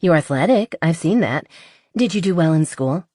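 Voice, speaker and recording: A woman's voice: sits low, expressive, moderate pace, dark tone, no room sound, studio quality. A woman voicing a playful, chatty, impulsive young woman who is a little tipsy, in a romance audiobook. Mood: giddy, chatty.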